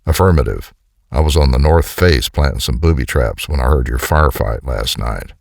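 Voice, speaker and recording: An actor playing a gruff, sardonic American colonel, a hardened wisecracking commando, in an audio comic. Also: male voice, rumbling low, animated, moderate pace, no room sound, clean studio sound, full character voice. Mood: brusque, suspicious. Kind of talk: dramatic audio comic dialogue.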